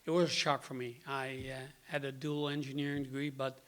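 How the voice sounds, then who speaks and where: A man in his sixties from the Netherlands with a Dutch accent, giving a plainspoken personal testimony to a group, pausing often on "uh.". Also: mid-range voice, flat delivery, a bit bright. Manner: plainspoken, halting with many "uh"s. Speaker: guest speaker.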